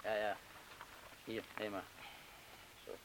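A male actor playing a cautious, steady criminal accomplice in a radio drama, speaking in a measured, laconic, firm way. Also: on the low side. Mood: resigned. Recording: hissy old radio play recording.

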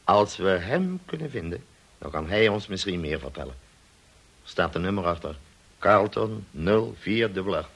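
Stern, husky police colonel. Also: male voice, sits low, animated, a bit bright, full character voice. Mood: businesslike. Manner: formal, measured questioning. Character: formal, authoritative police colonel, stern and probing. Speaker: actor in a radio play. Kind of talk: Dutch radio drama acting.

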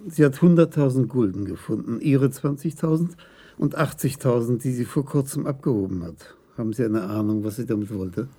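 An actor playing a senior police investigator in a German radio crime drama, measured, composed and politely probing. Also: male voice, medium pitch, dark tone, husky, natural voice.

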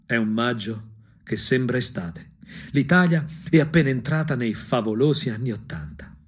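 Husky Tuscan man telling a punk tale. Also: mid-range voice, expressive, normal speed. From Anghiari, Tuscany, Italy. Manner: ironic, angry, emphatic storytelling. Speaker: stage actor and storyteller. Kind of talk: theatrical monologue for a punk radio drama. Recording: music under the voice. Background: music.

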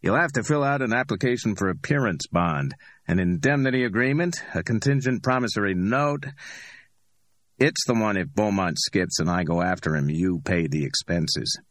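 A man's voice: fairly low pitch, normal speed, a bit bright, no room sound, close to an everyday voice. A man voicing a matter-of-fact middle-aged bail bondsman.

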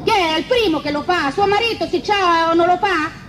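A middle-aged woman with a central Italian accent argues heatedly in colloquial speech. Her voice is extremely high, and the old documentary recording is very noisy. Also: moderate pace. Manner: confrontational, colloquial. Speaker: mother of a defendant. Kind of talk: heated spontaneous argument.

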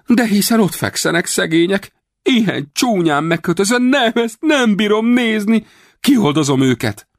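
A man voicing a fawning, two-faced male henchman who gushes in a high, theatrical voice. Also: sing-song, fairly bright tone, no echo, studio quality. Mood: gushing.

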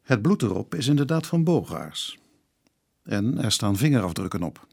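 A man with a Dutch accent playing a dutiful, sympathetic police inspector in a radio drama, measured and formal in his questioning. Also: mid-range voice, sing-song, creaky, a little mellow, breathy edge, exaggerated voice. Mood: grave, formal. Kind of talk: naturalistic radio drama acting.

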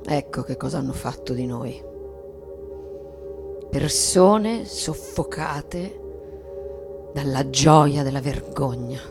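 An actress playing a bitter, yearning bourgeois wife in a dramatic radio reading, deliberate and hushed, with long pauses. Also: female voice, very deep voice, gravelly, heavily acted. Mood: bitter, confessional. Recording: music and sound design behind.

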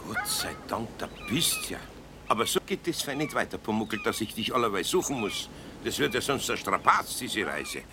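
A man's voice: medium pitch, huge pitch swings, normal speed, noisy background. An actor playing a kindly, grumbling older man with a Bavarian accent in a 1970s children's radio play. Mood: scolding, caring.

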